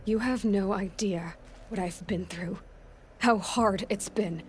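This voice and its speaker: Woman playing young defiant outcast heroine in a video game, mid pitch, blunt and intense, faint noise behind. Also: slow pace, natural voice. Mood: frustrated, hurt. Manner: blunt, challenging, emotionally driven. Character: headstrong, defiant young female outcast searching for answers. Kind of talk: dramatic video game dialogue.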